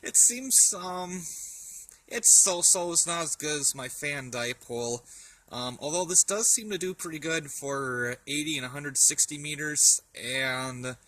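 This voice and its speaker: A man giving a casual, unscripted show-and-tell as a vlogger outdoors, speaking in a halting way as he thinks aloud. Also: a little high, pushed and bright, low-quality recording. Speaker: hobbyist vlogger. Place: outdoors in a yard in winter. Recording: outdoor camera audio with faint background noise.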